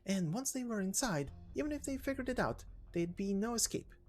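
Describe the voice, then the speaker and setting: A man's voice: high-pitched, lively intonation, brisk, a little mellow, faint music behind. A man voicing a chatty, breezy, teasing informant in a game story reading, speaking casually with rhetorical questions. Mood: breezy, explanatory.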